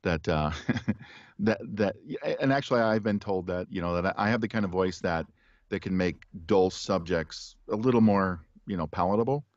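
A middle-aged man, an audiobook narrator, chatting as a guest in an interview with halting restarts and a relaxed chuckle. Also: low-pitched, dry recording. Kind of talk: casual interview chat. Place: home studio with poster behind. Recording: dry, slightly dull video call audio.

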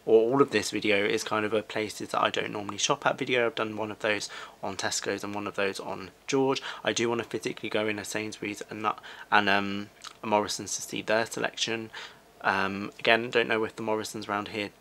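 A British man in his twenties talks casually to camera about shopping. His voice is crisp-edged and bright, with frequent vocal fry. He rambles along conversationally, wandering into little asides. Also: on the low side, fairly even intonation, a little fast, slight background noise. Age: 20s. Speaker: fashion vlogger. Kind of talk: casual shopping haul commentary. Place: small furnished living room, indoors.

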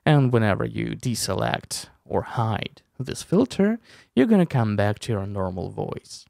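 Slow, animated young man, creaky voice. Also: fairly low pitch, no room sound, clean studio sound. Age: young adult. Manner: informal, step-by-step explaining with playful asides. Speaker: tutorial host, streamer. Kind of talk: casual software tutorial. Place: small room at a desk, indoors. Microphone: close desk microphone with headphones.

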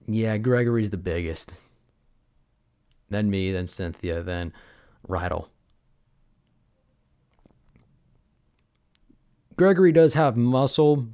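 Male actor as sentimental young sabertooth boyfriend for ASMR roleplay; lowish pitch, casual, gently hesitant delivery. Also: close to an everyday voice. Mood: amused, reminiscing.

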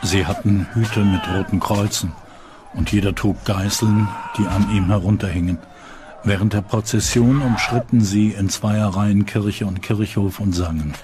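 An actor voicing a grave medieval chronicler in standard German, solemn and deliberate, in a documentary's dramatised readings. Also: male voice, on the low side, steady tone, background noise, close to an everyday voice. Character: grave medieval male chronicler recounting horrors. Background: background music.

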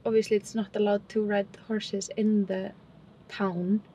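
A woman's voice: mid-range voice, flat delivery, unhurried, warm tone. A young woman from Iceland in her twenties, chatting playfully and laughing from the passenger seat of a car. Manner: laughs often, playful teasing. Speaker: passenger and co-host. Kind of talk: casual chat. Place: inside a moving car. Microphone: camera on the dashboard. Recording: car noise behind the voice.

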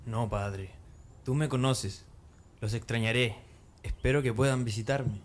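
A man's voice: mid-range voice, steady tone, a little slow, lightly acted. A man playing an earnest, reassuring young son in a radio drama. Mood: reassuring, affectionate. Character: young, ambitious, reassuring son leaving home.

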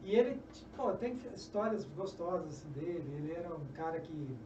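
A middle-aged man with a Brazilian Portuguese accent, a journalist and author telling history as a guest, animated and often restarting phrases, in a small podcast studio at a desk mic. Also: fairly high pitch, a little fast. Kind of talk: storytelling interview answers. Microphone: desk mic on a stand. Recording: echoey, distant, dull, noisy.

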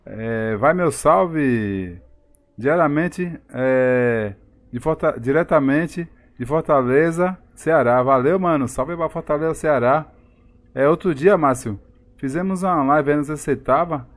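A man's voice: fairly high pitch, a little slow. A man with a Bahian accent from Salvador, chatting casually with viewers and greeting them by name during a live game stream. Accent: Bahian Brazilian Portuguese. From Salvador, Bahia, Brazil.

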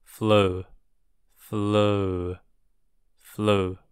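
A man's voice: on the low side, flat delivery, very slow, clean tone, no echo, clean studio sound. A man teaching English words for illness, saying each word three times and then each sentence word by word. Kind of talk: slow drilled vocabulary reading.

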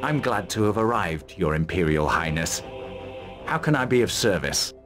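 A man playing a gruff, confident mercenary commander, curt and dry, in a fantasy video game. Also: low voice, expressive, normal speed, over music. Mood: dry, courteous.

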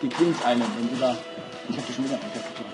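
A man's voice: medium pitch, quick pace. A young man from Germany giving casual let's play commentary, chatting and reading game dialogue aloud. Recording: game music behind the voice.

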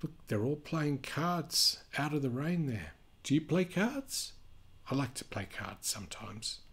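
A man reads a picture book to children in a gentle, expressive manner. His medium-pitched voice is husky and takes its time, slipping into chatty asides between the story lines. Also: unhurried.

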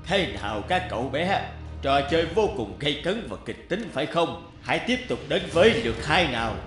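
A man's voice: a little high, very animated, normal speed. An actor voicing a mysterious, commanding old man who runs a game, announcing in a formal, theatrical and menacing way for a cartoon. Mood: grand announcing. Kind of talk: animated cartoon dubbing. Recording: echoey voice over loud music.

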